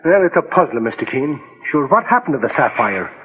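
An actor playing a loyal, folksy detective's assistant in a 1940s radio drama, husky and deferential. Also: male voice, medium pitch, sing-song, brisk. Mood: puzzled, willing. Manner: colloquial, deferential. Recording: tinny 1940s radio recording.

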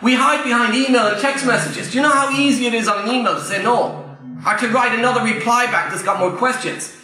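Irish male trainer, high and bright, punchy repeated points to a live crowd, roomy echo. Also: quick pace. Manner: emphatic, repeats key phrases, addresses the crowd directly. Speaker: property investment trainer. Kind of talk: live Q&A coaching talk to an audience. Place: event room before a live audience. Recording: very echoey room.

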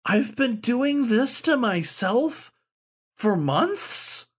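A man's voice: extremely high pitch, very animated, drawn-out words, husky, voice pushed up, exaggerated voice. A man voicing an anxious young male dog student in a hesitant, plaintive manner. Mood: whimpering dismay.

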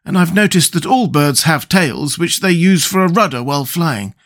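Man putting on a high, cheerful scarecrow. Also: lively intonation, normal speed, a bit bright. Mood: confident reasoning. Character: cheerful, reasoning living straw scarecrow, confident and wise.

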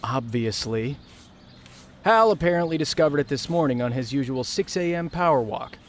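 A man's voice: medium pitch, lively intonation, moderate pace, a little hiss or hum, lightly acted. A man playing a smug, impatient heir of a small-town family in a naturalistic audio drama. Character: entitled, irritable male heir to small-town family fortune.

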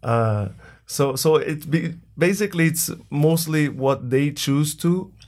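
A man's voice: on the high side, slow, darkish tone. A man who leads a drumming program, speaking in an interview conversation and posing rhetorical questions to a guest. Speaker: music program leader.

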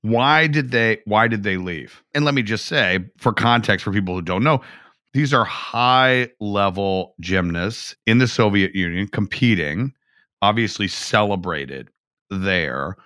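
A man's voice: fairly low pitch, lively intonation, unhurried, bright, forceful tone, no echo, clean studio sound. A man hosting a podcast, asking questions in a playful, joking manner. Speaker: podcast host. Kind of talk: casual interview.

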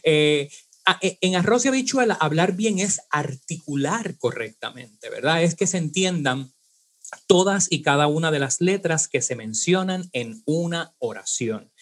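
Casting: Puerto Rican man, diction teacher, slightly high voice, explains patiently with lots of fillers. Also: lively intonation, measured pace, fairly bright tone. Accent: Puerto Rican Spanish. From Puerto Rico. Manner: didactic, many "eh" fillers and tag "¿verdad?". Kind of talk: podcast interview.

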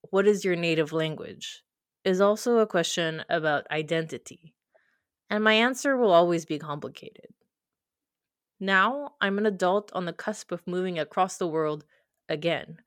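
A woman's voice: lowish voice, no echo, studio quality. A young woman from Quebec whose first language is French, reading her own reflective personal story. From Quebec, Canada. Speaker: narrator of a personal audio piece. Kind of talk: scripted personal narration.

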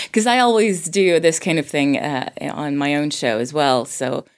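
Very low-pitched woman from Sweden. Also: unhurried. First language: Swedish. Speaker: podcast guest co-host. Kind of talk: casual chat.

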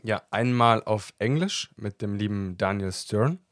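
A talkative man with a lowish voice hosts a relaxed podcast conversation. He laughs easily and keeps rambling on with his answers. The sound is close and dry, with no room around it. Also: unhurried, no room sound. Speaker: podcast host. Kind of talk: casual podcast chat.

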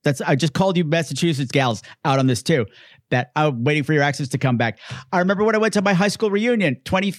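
A man co-hosting a casual film discussion podcast, talking in an animated, emphatic way. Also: a little high, quick pace, no echo, clean studio sound. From Long Island, New York. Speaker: podcast co-host.

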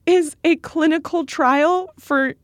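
High-voiced, self-deprecating woman co-host. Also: slow pace, no echo. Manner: self-deprecating, exaggerating, sweary. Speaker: podcast co-host and producer. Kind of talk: casual comedic chat.

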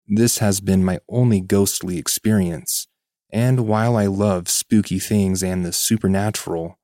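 A man's voice: low-pitched, fairly even intonation, measured pace, dark tone, dry recording. A man reading a first-person spooky story as a scripted podcast narration.

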